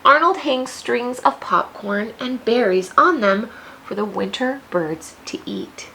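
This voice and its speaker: An American woman teacher reading a picture book aloud to young children, expressive, with questions and teaching asides. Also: fairly high pitch, unhurried, gravelly, a little hiss or hum, poor audio.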